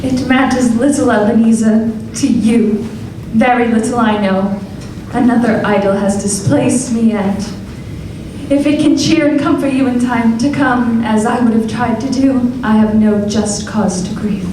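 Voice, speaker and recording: A woman's voice: fairly high pitch, noisy background, close to an everyday voice. Sorrowful young woman Belle, a woman acting. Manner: measured, sorrowful. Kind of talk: live radio play acting. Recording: echoey hall recording from far off.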